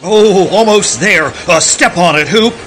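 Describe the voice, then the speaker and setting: A man's voice: high-pitched, measured pace, over music. A man playing a greedy, eager promoter. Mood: scheming. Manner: eager, breathless, starts lines with "oh". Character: scheming small-town promoter, greedy, excitable. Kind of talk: community radio theater acting.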